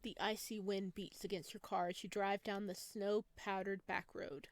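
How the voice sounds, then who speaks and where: A woman reads a horror visual novel aloud at an even pace, her voice in the middle of the usual range for a woman. She stops now and then for chatty asides and giggles. The sound is close and dry, with no room echo. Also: mid-range voice, moderate pace. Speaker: game streamer.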